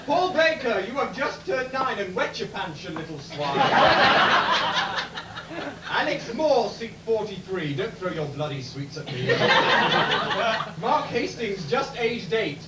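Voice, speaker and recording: Man acting a grumpy panto baddie, high voice, slow mock-menacing rhymes, echoey room, loud crowd. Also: big pitch swings, heavy background noise. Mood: mock menace. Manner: mock-menacing rhyming scolding, drawn out. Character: grumpy, child-hating comic pantomime villain. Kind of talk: amateur pantomime comic verse. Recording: echoey bar, distant mic, loud crowd.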